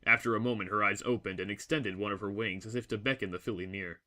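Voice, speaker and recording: A man's voice: on the low side, fairly even intonation, fast, sharp tone. A man reading scripted, dramatic story narration as the narrator of an audio drama.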